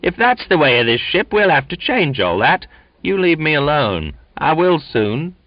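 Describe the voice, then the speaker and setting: A man voicing a bullying, quarrelsome male sailor who snarls and shouts insults. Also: on the high side, sing-song, brisk, dry recording, pitched above the performer's own voice. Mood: snarling. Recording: dull lo-fi dry recording.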